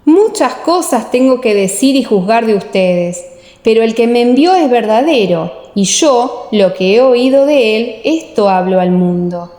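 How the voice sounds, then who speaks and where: A woman's voice: fairly high pitch, expressive, a little slow, warm tone. A woman voicing Jesus as a solemn, authoritative teacher in an audiobook radio play. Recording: echoey, long reverb.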